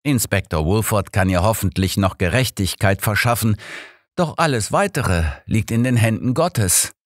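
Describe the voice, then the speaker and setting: A man's voice: on the low side, expressive, normal speed, no echo, studio quality, pitched above the performer's own voice. A man voicing a cool, blunt old male detective with an authoritative manner in an audiobook. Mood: matter-of-fact. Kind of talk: audiobook character dialogue.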